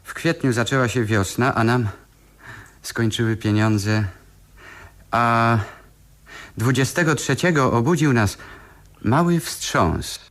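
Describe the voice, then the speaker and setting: A man acting in a radio play as an excitable, bewildered young tenant who tells his story with animated wonder. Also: medium pitch, takes it slowly, long pauses, echoey room, lightly acted. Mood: earnest recounting.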